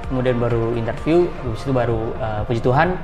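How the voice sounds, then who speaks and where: Fast-talking young man, echoey. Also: mid-range voice. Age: 20s. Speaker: interview guest, IT specialist. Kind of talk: casual interview answers. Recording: roomy echoing hall, music behind.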